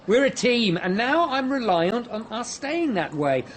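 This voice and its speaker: A man's voice: very high voice, big pitch swings, a little slow, a bit bright, a little hiss or hum, full character voice. An actor in a radio drama playing an anxious, self-serving male manager with an insistent, pleading manner. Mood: urgent, pleading.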